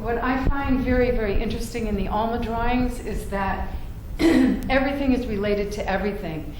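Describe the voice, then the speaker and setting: A woman giving an informal spiritual talk to a fellowship group, with a loud voice and a halting delivery full of fillers. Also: mid-range voice. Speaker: guest speaker. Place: fellowship meeting room. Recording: echoey room with background noise.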